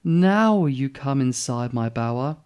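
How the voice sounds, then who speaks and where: A man's voice: mid-range voice, expressive, measured pace, a little mellow, clear, resonant tone, close to an everyday voice. A man voicing a small, big-hearted male bowerbird in a storybook, lovelorn, earnest and polite. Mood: eager wooing.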